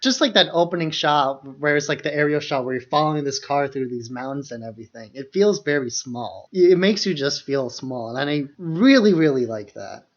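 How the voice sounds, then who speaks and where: A man's voice: a little high, expressive, moderate pace, few pauses. A man co-hosting a podcast, chatting casually about films in a loose conversational way peppered with "like". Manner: conversational, heavy use of "like". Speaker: podcast co-host. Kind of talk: casual film discussion. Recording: dull lo-fi microphone sound.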